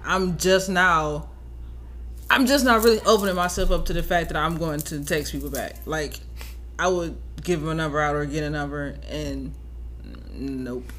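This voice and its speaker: A woman's voice: sits low, normal speed, a bit bright. An American woman speaks as a podcast co-host in casual, unfiltered conversation. Her talk is blunt and slangy, with swearing mixed in. Faint noise sits under the voice. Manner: blunt, profane, informal. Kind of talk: casual chat.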